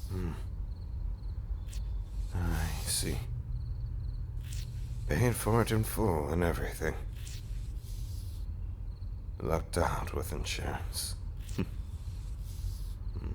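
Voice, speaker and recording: Man playing a very deep, halting zombie husband. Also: a little hiss or hum, full character voice. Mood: wistful, hesitant. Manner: halting, stammering, softly formal. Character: undead zombie husband, gentle, apologetic, tenderly devoted. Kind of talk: intimate audio roleplay drama.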